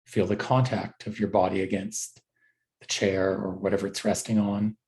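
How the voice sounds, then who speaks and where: A man with a fairly low voice leads a guided body scan meditation as a teacher. He gives gentle instructions in short phrases, leaving unhurried pauses between them. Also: level tone, vocal fry.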